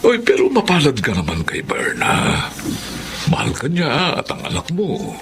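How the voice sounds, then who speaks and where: A man's voice: on the high side, wildly swooping pitch, measured pace, vocal fry, noisy background, heavily acted. An actor playing a frank, teasing male friend in a radio drama, chatty and prodding. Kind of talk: Filipino radio drama acting.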